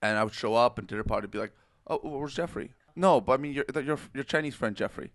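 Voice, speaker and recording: A man's voice: medium pitch, a bit bright, no room sound. A man co-hosting a podcast who teases his guests and acts out quotes when telling stories. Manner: rapid, teasing, acts out quotes. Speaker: podcast co-host. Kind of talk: casual storytelling chat.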